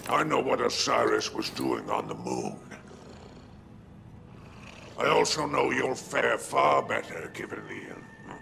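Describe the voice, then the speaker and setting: An actor playing a sly, menacing alien crime baron in a game cutscene, with a gravelly, mocking, theatrical delivery. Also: male voice, mid-range voice, bright, forceful tone, heavily acted. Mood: sly, scheming. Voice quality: gravelly, creaking growl. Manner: drawn-out, sardonic, dropping to breathy asides. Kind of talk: dramatic video game cutscene acting.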